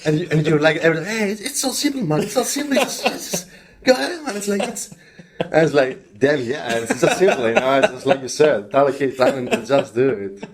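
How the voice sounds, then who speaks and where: A man's voice: on the high side, animated, a crowd in the background. A man from Greece in his thirties with a Greek accent, chatting as a podcast guest and often restarting his sentences. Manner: halting, many restarts and fillers. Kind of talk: casual interview chat.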